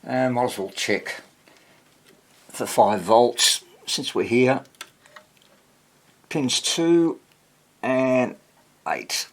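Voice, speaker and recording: Male hobbyist presenter for a workbench repair video: unhurried, lively rise and fall in pitch, talking haltingly to himself while working. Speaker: hobbyist radio restorer, presenter. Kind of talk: casual hands-on repair narration, thinking aloud.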